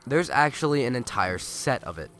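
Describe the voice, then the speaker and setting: A young man with a cold, his nose stuffed and his voice raspy, rambling chattily and correcting himself as he comments on a video game. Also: medium pitch, big pitch swings, moderate pace, a bit bright. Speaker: let's play gamer. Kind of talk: casual gameplay commentary.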